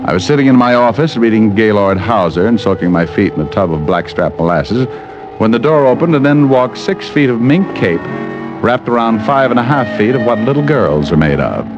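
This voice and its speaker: A wisecracking private detective from golden-age radio. His voice sits fairly low and moves with lively swings, flippant and teasing. The recording is old and somewhat dull. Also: a little fast, over music. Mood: wry narrating. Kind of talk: 1940s-50s radio detective acting.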